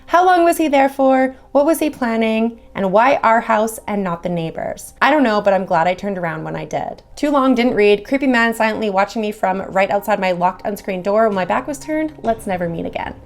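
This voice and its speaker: A woman's voice: medium pitch, a little fast, hardly any pauses. A woman reading horror stories aloud, with casual chatty asides between them. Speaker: horror story reader.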